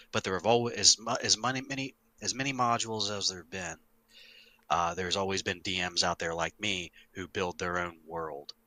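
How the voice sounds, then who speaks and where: Bright, forceful low-voiced man, casually emphatic. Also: no echo. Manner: emphatic, sweary, animated explainer. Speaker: podcast host, longtime game master. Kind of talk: casual podcast discussion.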